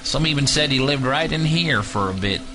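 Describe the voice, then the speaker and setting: Man playing a gruff Southern prison guard. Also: mid-range voice, lively intonation, normal speed, pitched above the performer's own voice, natural voice, poor audio. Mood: folksy, reminiscing. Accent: Southern US, Louisiana. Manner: folksy drawl when reminiscing, barking orders when angry. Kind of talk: audio drama acting. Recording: music bed under the voice.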